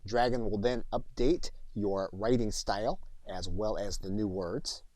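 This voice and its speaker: A man narrating a software tutorial as an instructor, methodical and step by step, recorded as a close-miked voice-over. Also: on the low side, fairly even intonation, a little slow, noisy background.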